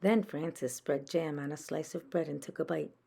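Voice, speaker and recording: A woman's voice: deep voice, moderate pace, gravelly, dark tone, slightly rough. A woman reading a children's story aloud as a storyteller for young listeners.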